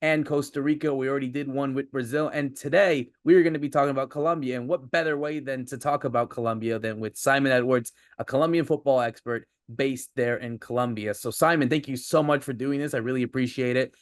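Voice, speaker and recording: Male podcast host, upbeat, mid-pitched, dry close recording. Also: fast, hardly any pauses, dry recording. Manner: upbeat, energetic introductions. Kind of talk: podcast interview hosting.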